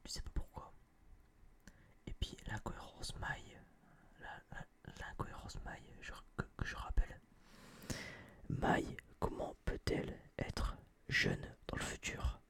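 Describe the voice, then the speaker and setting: A person whispering a casual, rambling ASMR film review in slangy, hesitant phrases, often repeating words, close to a binaural microphone. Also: fairly bright tone. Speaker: ASMR video creator.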